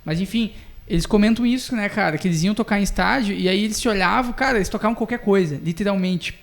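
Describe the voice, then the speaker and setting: A man's voice: sits high, fast talker, gravelly. A young man, chatting casually and slangily as a podcast co-host. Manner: relaxed, slangy, uses tu and tá ligado. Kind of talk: casual chat.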